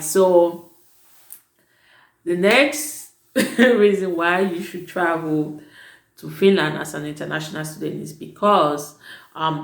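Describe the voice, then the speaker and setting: Chatty woman vlogger in an echoey room. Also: sits low. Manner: chatty, emphatic, often corrects herself mid-sentence. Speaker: vlogger advising prospective students; teacher at an international school. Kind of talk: casual advice vlog.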